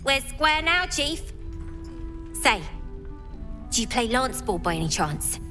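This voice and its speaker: A woman's voice: high-pitched, sing-song, takes it slowly, over music, exaggerated voice. A woman voicing a cocky, chatty young urchin girl in a video game, cheeky and informal.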